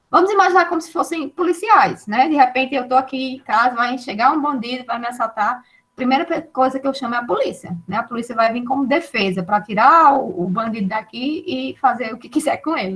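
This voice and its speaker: A woman teaches an online class with a fairly high voice. She explains step by step and keeps checking with her students. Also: fairly bright tone, poor audio. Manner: explains patiently with many checking tags like "né" and "tá".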